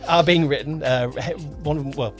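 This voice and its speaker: A man co-hosting a casual podcast as a producer, talking in a halting way, correcting himself and repeating phrases. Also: fairly high pitch, soft background music. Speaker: podcast co-host, audio drama producer.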